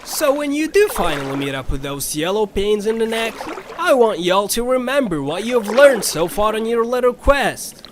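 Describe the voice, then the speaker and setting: A man's voice: high-pitched, very animated, normal speed, few pauses, a crowd in the background. A man voicing a folksy, bossy ferry captain with a Southern US accent in a cartoonish audio drama. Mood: defensive, instructive. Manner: folksy, drawling turns of phrase.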